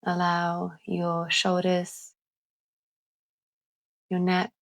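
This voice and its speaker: A woman leading a calming guided visualisation in a gentle, deliberate manner with long pauses. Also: lowish voice, gravelly. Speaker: guided meditation host.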